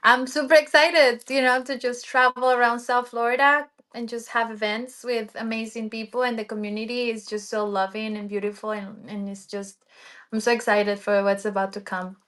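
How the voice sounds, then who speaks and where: An upbeat woman, chatting as a guest on a livestream. Her voice sits somewhat high for a woman, and she laughs easily between her words. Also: flat delivery, normal speed, fairly bright tone. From Ecuador. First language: Spanish. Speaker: livestream guest, sound healer. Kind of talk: casual livestream chat.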